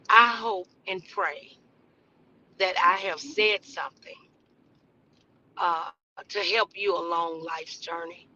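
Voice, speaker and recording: Slow, piercingly bright woman giving inspirational talk. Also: fairly low pitch, lo-fi sound, slight background noise. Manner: preacherly, earnest, halting delivery with repeated phrases. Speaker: host of a motivational talk podcast. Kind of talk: inspirational faith talk, loosely improvised.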